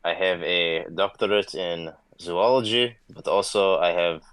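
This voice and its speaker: A man's voice: deep voice, steady tone, unhurried, a bit bright, clear, resonant tone, no echo. A man from Hungary, speaking formally and hesitantly as a podcast guest.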